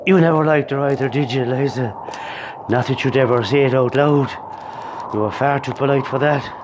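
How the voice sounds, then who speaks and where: A man's voice: mid-range voice, moderate pace, noisy background, close to an everyday voice. A man playing a grieving older Irish widower in a radio play, wry and rambling, with an Irish accent. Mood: wry, wistful. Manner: rambling, conversational, emotive.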